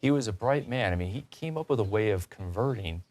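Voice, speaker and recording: A man's voice: lowish voice, lively intonation, fairly quick, a little mellow. A man hosting a talk show, interviewing conversationally and restating points as he leads into questions.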